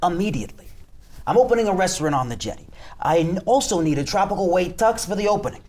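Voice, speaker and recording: A man's voice: high-pitched, lively intonation, fast talker, a little mellow. A man playing a bossy, blunt gangster boss in a table reading of a TV script. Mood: commanding.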